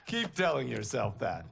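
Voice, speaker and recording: An actor playing a cocky, boastful young farmer who loves to fight, in a video game cutscene, teasing and laughing. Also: male voice, medium pitch, vocal fry, a bit bright, slight background noise, heavily acted. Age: young adult. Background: game score music.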